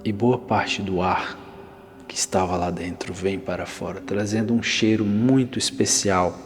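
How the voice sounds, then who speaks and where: Slow, deep Brazilian man in long reverb. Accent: Brazilian Portuguese. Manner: calm, instructing, with long pauses. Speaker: guided meditation narrator. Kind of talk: ASMR guided visualization. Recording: long reverberant hall-like echo.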